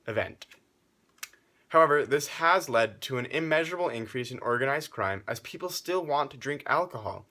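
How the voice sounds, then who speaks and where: Male news presenter, medium pitch, formal scripted period report, speaks straight to the viewer. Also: a bit bright.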